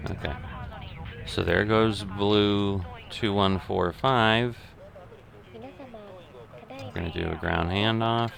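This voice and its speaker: A middle-aged man giving unscripted simulation game commentary, thinking aloud in a methodical, halting way. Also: on the low side, very animated, creaky, a crowd in the background. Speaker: gaming video host.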